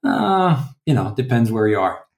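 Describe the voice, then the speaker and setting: A man's voice: mid-range voice, very animated, moderate pace, darkish tone, echoey room. A man in his forties from France, answering interview questions casually with lots of fillers and restarts. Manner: hesitant, many fillers and restarts. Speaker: guest, translation company founder.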